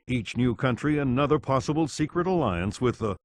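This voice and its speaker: An actor playing a sober television news anchor, reading a scripted crisis report for a video game. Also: male voice, mid-range voice, animated, fairly quick, no room sound, studio quality, natural voice. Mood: sober, urgent.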